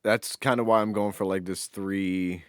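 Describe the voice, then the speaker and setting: A deep-voiced man chats as a podcast co-host. His delivery is informal and anecdotal, like everyday conversation. The recording is close and dry, free of room sound. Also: fairly even intonation, normal speed, clean tone, no room sound. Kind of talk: casual chat, anecdotal storytelling.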